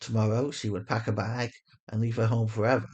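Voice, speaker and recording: A man reading scripted fiction as a story narrator, with measured, deliberate phrasing and dramatic pauses. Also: on the low side, level tone, a little mellow, husky, muffled recording.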